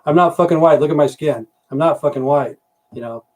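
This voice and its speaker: A man's voice: a little high, brisk, a little mellow. A middle-aged man from Idaho, rambling and swearing through a casual livestream with frequent "you know" fillers. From Idaho, USA. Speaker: livestream host.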